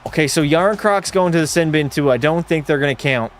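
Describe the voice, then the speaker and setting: A male streamer giving casual play-by-play of a hockey game and answering his chat in a relaxed way. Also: a little high, fairly quick, soft background music. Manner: relaxed, drops into terse hockey call mode.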